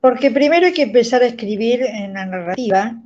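An older woman with an Argentine accent, explaining things as an interview guest in a measured, didactic way. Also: on the high side, expressive, a little mellow. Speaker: guest, radio theatre director and writing teacher. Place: indoors, plain room on a video call. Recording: dull lo-fi video call audio.

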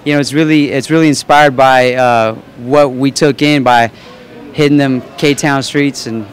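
A man's voice: medium pitch, fairly even intonation, a little slow, clear, resonant tone. Laid-back rambling young man. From Los Angeles. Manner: laid-back, rambling, many fillers and restarts. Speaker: musician guest. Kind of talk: casual interview talk. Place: indoor event backdrop. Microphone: handheld mic.

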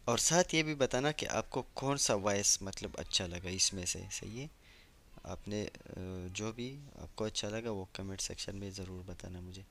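A man's voice: lowish voice, moderate pace, a bit bright, a little hiss or hum. A man giving casual commentary as a gaming YouTuber. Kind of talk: casual game walkthrough commentary.

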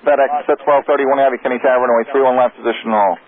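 A man working as an air traffic controller, giving clipped, brisk, procedural instructions over radio. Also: medium pitch, steady tone, narrow, tinny audio. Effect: radio transmission.

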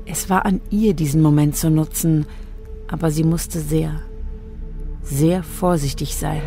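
An actress narrating a science fiction radio drama in a scripted storytelling style. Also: female voice, low-pitched, steady tone, measured pace, gravelly, mellow. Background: music.

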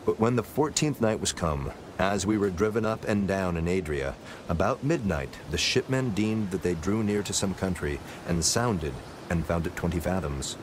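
A man narrating scripture from a script in a measured, solemn manner, as part of a dramatized reading. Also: deep voice, noisy background.

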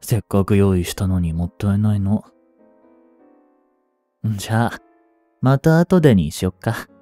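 A man in his twenties playing an obsessive, jealous young stalker in an intimate voice drama, coaxing softly with long pauses. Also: fairly low pitch, lively intonation, no room sound, soft background music. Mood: pleading, eerily gentle. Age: 20s. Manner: soft, coaxing, brooding delivery with drawn-out pauses. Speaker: voice actor. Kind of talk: intimate Japanese voice drama, addressed to the listener.

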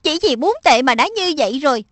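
Woman as a high-pitched preachy little magic creature. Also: animated, brisk, a bit bright, no echo. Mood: stern, admonishing. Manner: admonishing, instructive. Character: small magical lion-like pet spirit, preachy older-brother mentor. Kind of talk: cartoon dub.

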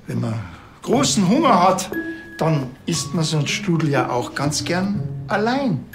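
An actor playing a dry, rambling policeman in a TV crime comedy, deadpan and hesitant. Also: a little high, measured pace, over music. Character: dry, slow-witted rambling Bavarian policeman.

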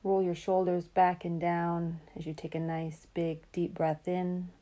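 A woman leads a guided relaxation in a low, very soft-edged and mellow voice. Her delivery is calm and soothing, with a very even, level melody. She takes her time and leaves room between phrases. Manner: calm, soothing, unhurried with long pauses. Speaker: meditation guide.

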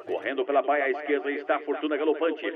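A man playing an excited horse-race announcer giving cartoon sports commentary. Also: fairly high pitch, fast talker, narrow, tinny audio, crowd noise, lightly acted.

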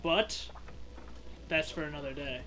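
A man's voice: fairly high pitch, measured pace. A man giving chatty, joking commentary on a video game in a casual style. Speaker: gaming co-commentator. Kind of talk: casual let's play commentary. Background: video game music.

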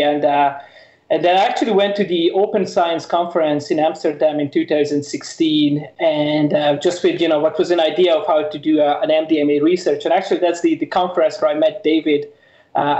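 Hungarian-born guy, slightly high voice, lots of uhs, sounds muffled and echoey. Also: a little mellow. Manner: hesitant, many fillers, storytelling. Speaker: researcher guest. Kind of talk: interview answer.

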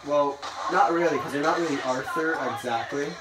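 A man's voice: on the high side, normal speed. A young man hosting a gaming video, chatting casually and rambling, playfully bossy about the plan. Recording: echoey room, mic far, noisy.

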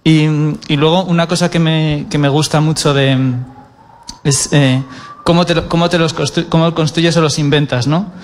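Male writer, 30s, flat monotone, slightly high, hesitant with fillers, handheld mic. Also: normal speed, a little hiss or hum. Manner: hesitant, many fillers, frequent tag questions. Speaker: writer on a panel. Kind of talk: panel discussion. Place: stage of a cultural centre auditorium.

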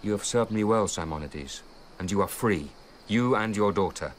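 A man's voice: fairly low pitch, animated, moderate pace, lightly acted. An actor playing a proud, generous young nobleman of ancient times in a radio drama, with a grave, declamatory delivery. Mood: magnanimous. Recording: radio drama with faint background noise.

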